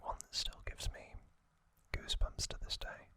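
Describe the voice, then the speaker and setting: A man narrating in a breathy ASMR whisper, delivered in short, deliberate phrases as a storytelling host. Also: bright, forceful tone.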